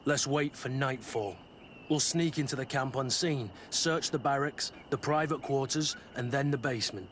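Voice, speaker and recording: A man's voice: medium pitch, normal speed, a bit bright, close to an everyday voice. A man voices a stern male soldier of honour in a video game. He talks tersely and bluntly in a mid-range voice, with faint noise in the background. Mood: resolute. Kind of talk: video game cutscene acting.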